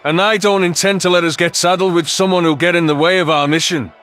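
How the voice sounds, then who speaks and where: Guy doing a fed-up clawed alien soldier, confrontational, highish voice, sci-fi audio story. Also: fairly even intonation, fast talker, a bit bright, faint music behind. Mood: stern. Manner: exasperated, confrontational. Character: impatient, suspicious alien soldier with claws and bared teeth.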